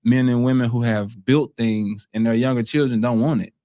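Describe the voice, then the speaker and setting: A man's voice: medium pitch, steady tone, moderate pace, mellow, dry recording, studio quality. A man with an American accent talking earnestly and slangily as a guest in a casual interview. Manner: earnest, slangy, frequently says bro. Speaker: interview guest, content creator.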